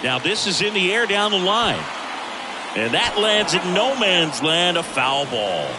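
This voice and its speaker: A man playing an alert baseball play-by-play announcer in a video game, quick to rise with the action. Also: high-pitched, sing-song. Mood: alert, building excitement. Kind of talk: sports commentary in a video game. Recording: loud stadium crowd and ambience behind. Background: ballpark crowd noise.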